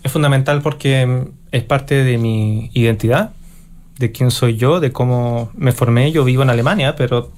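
A man from Chile with a Chilean Spanish accent, a conductor answering interview questions thoughtfully, pausing and restarting as he searches for words. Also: medium pitch, darkish tone. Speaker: orchestra conductor, interview guest.

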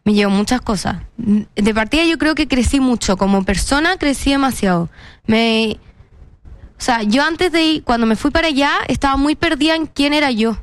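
Chatty Chilean woman, smooth and dry. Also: high voice, expressive, normal speed, no echo. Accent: Chilean Spanish. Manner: chatty, self-mocking, playful. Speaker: guest. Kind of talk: casual interview chat.

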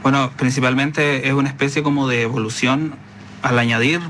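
Reflective Chilean man, halting phrases. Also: medium pitch, level tone, a bit bright, slight background noise. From San Antonio, Chile. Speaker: musician, interview guest. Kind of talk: casual interview answers.

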